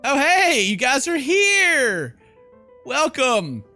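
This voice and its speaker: A man's voice: very high voice, huge pitch swings, very slow, pushed and bright, soft background music. A man doing jokey, bro-ish game commentary and expressively reading story text aloud as a let's player.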